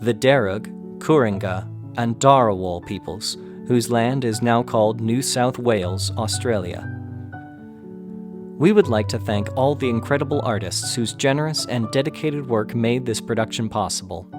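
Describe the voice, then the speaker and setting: Male announcer, medium pitch, scripted narration with clear guitar backing. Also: moderate pace. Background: plucked guitar music.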